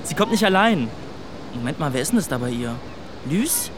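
A man's voice: on the high side, big pitch swings, moderate pace. A young man playing a brash, cocky, girl-chasing teenage boy in a naturalistic audio drama, talking in slang. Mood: teasing. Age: young adult. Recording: noisy outdoor ambience with distant traffic.

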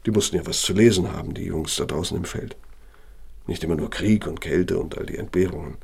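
An actor playing an older, proud and bitter architect in a radio play, self-justifying in a measured, self-righteous way. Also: male voice, deep voice, creaky, darkish tone, slightly rough, heavily acted. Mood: self-satisfied. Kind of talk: naturalistic radio play monologue and dialogue.

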